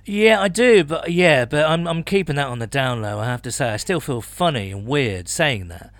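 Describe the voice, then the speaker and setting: A man's voice: on the high side, expressive, moderate pace, fairly bright tone, no echo. A man co-hosting a sports podcast, chatting casually with colourful, colloquial turns of phrase. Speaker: podcast co-host.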